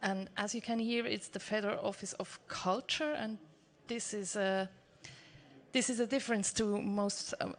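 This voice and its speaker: A woman who heads a film fund, speaking on a panel in a measured, explanatory way. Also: medium pitch, fairly even intonation. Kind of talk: panel talk.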